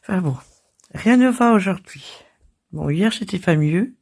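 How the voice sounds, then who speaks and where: Give me a French woman with a cold, husky and slow, chatting casually about her crafts. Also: on the low side, expressive, a little mellow. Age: older. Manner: chatty and self-deprecating, laughing and coughing. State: hoarse, has a cold. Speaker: crafting channel host. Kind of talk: casual chat.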